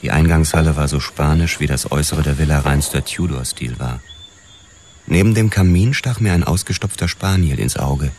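A world-weary detective with an extremely low voice tells his story in a radio drama. He is measured and deadpan, in hardboiled style, over faint background noise. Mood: observant, dry.

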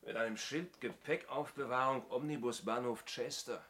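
A man's voice: medium pitch, normal speed, fairly bright tone, close to an everyday voice. An actor playing an inspector's observant male assistant in a 1970s radio play, speculating in a dry, wry manner. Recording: noisy old radio play recording.

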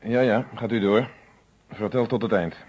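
An actor playing a stern, probing male police inspector in an old Dutch radio detective play, speaking formally and with measured authority. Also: lowish voice, steady tone, a little mellow, slightly rough. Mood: formal, controlled. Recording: dull lo-fi old radio recording.

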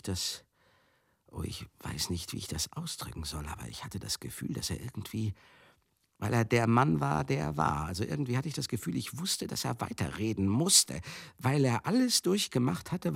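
A world-weary male companion in a radio play, with a low, husky, creaking voice recorded close and dry. He confides directly in the listener, halting and repeating himself, bitter and tender at once, often sinking into a breathy murmur. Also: no room sound, exaggerated voice. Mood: wry, searching. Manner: halting, repetitive, conversational, addressing listeners directly. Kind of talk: radio play monologue, intimate confessional storytelling.

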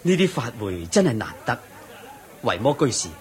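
Man acting pious donor, medium pitch, very expressive intonation, reverent, earnest, radio drama. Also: normal speed, a faint crowd, lightly acted. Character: devout, respectful male almsgiver, earnest and admiring.

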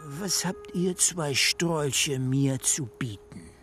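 An actor playing a mocking, cocky drifter with a drawling, sneering manner in a German western radio drama. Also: male voice, fairly high pitch, lively intonation, drawn-out words, a bit bright, soft background music, full character voice. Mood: smug, sly. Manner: sneering, drawling, chuckling between phrases.

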